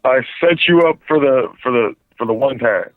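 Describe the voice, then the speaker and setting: A man's voice: medium pitch, normal speed. A man phoning in to a casual talk show, telling a rambling story and stumbling over his words. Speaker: phone-in guest. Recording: tinny phone line.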